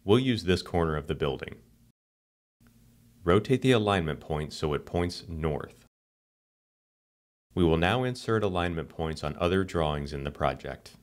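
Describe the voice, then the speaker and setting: Man, very low voice, calm scripted tutorial narration, steady and instructional. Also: measured pace, long pauses.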